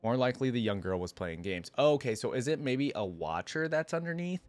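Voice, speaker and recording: A man's voice: medium pitch, lively intonation, a little fast, a little mellow, no room sound. A man hosting a reaction video, casually thinking aloud and reading a story as he goes. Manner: talks to himself, thinking aloud with casual slang.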